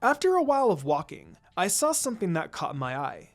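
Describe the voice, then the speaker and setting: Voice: mid-range voice, big pitch swings, a little fast, soft background music. A narrator reading a scripted first-person story aloud, acting out bits of dialogue along the way. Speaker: fan fiction narrator.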